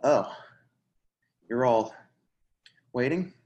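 A woman's voice: rumbling low, lively intonation, drawn-out words, a little mellow, dull audio, exaggerated voice. A woman playing a polite, flustered and chatty newcomer in a stage play reading, a little out of breath. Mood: polite, eager. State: out of breath, acted. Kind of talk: readers theatre play reading.

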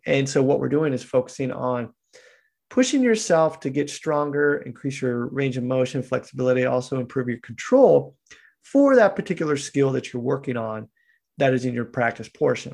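A man's voice: mid-range voice, vocal fry, darkish tone. A man, a fitness coach and podcast guest, explaining his training approach in an earnest, coaching way, now and then acting out what a trainee tells himself. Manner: earnest, coaching, acts out inner dialogue. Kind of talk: casual explanatory chat.

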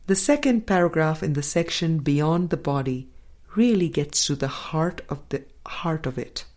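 A woman reading a spiritual book aloud and commenting on it, in a measured, deliberate way with soft, trailing endings. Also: sits low, lively intonation.

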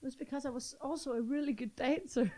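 A woman's voice: high voice, fast, a crowd in the background. A woman in her thirties with a Danish accent, chatting playfully in English and joking about her hidden talents. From Denmark. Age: 30s. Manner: playful, giggly, boastful in jest. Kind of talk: casual chat.

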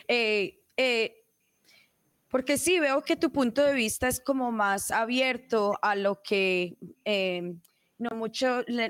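A woman hosting an interview, asking curious questions with many fillers and quick backchannels. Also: on the high side, dry recording, studio quality. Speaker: interview host.

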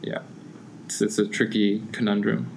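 A young man in easy conversation, circling his thoughts and peppering nearly every phrase with "like." His voice sits fairly low. A faint background hiss stays under the talk. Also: little pitch movement, normal speed, gravelly, low-quality recording. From United States. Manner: rambling, heavy use of "like" fillers. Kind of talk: casual conversation.

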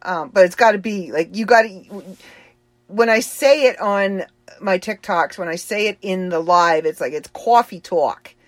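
A woman's voice: medium pitch, measured pace, no room sound. A woman with a Boston accent giving a rambling, chatty personal update, laughing easily as she talks. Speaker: author and livestream host.

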